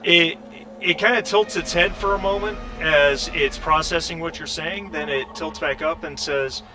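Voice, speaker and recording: A man's voice: on the high side, moderate pace, background noise. A man talking conversationally as the game master of a tabletop role-playing game, narrating scenes and guiding players.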